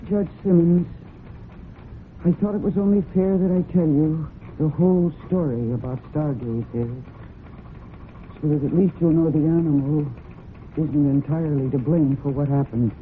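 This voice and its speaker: An actress playing a grave, composed young heiress in an old radio drama, speaking in a formal, measured, firm way. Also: female voice, deep voice, lightly acted. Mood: sombre. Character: grave, composed young woman, bereaved horse farm heiress. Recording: muffled, noisy old radio recording.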